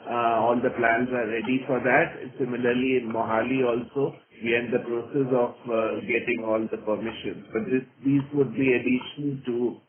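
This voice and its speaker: Deliberate Indian man on muffled phone. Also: mid-range voice, little pitch movement. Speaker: senior company executive. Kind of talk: formal earnings call answers.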